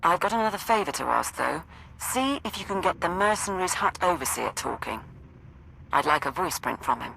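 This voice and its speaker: A woman playing a composed military support officer in a video game, briefing in a brisk, matter-of-fact way. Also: lowish voice, very animated, creaky, pushed and bright, slight background noise, heavily acted, low-quality recording. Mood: businesslike. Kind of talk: video game dialogue over comms.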